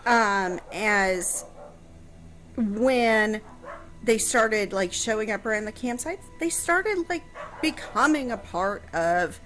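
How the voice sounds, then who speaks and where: A woman's voice: medium pitch, lively intonation, slow pace, a bit bright, low-quality recording. A woman chatting casually on a radio show, answering a history question with lots of fillers and restarts.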